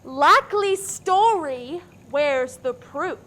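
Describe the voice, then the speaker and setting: A woman's voice: high voice, expressive, slow, a bit bright, full character voice. A woman acting as a flippant, giggly female suspect with a gleeful streak in a comedic radio play. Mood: skeptical.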